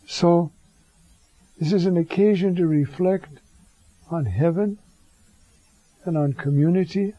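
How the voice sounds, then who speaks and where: A hoarse man giving a slow, reflective homily as a priest, halting often with fillers. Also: on the high side, mellow, no room sound, highs cut off.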